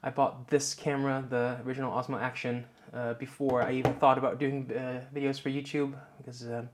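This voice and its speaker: Relaxed Dutch man chatting to camera. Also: mid-range voice, brisk, background noise. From Netherlands. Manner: relaxed, many filler "uh"s, rambling explanations. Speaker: YouTuber. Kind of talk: casual vlog talk to camera. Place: home office, indoors. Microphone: wireless clip-on mic.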